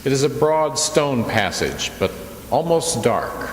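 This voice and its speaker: A man's voice: mid-range voice, very animated, takes it slowly. A man narrating a scripted radio drama in an echoey hall. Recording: echoey hall, faint background noise.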